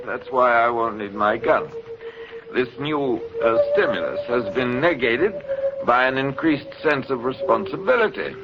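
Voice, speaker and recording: A man playing a coolly taunting middle-aged kidnapper in a 1940s radio drama, with smug, formal, deliberate delivery. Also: mid-range voice, lively intonation, loud music behind the voice, lightly acted. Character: tall sinister fifty-year-old kidnapper, coolly taunting experimenter. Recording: muffled vintage 1940s radio recording.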